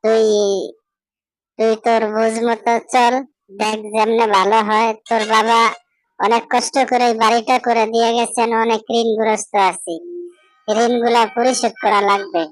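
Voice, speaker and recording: A woman's voice: on the high side, level tone, normal speed, dry recording, close to an everyday voice, low-quality recording. A woman voicing an aggrieved, complaining widowed mother of a grown son in a cartoon. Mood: pleading, worried. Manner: complaining, pleading.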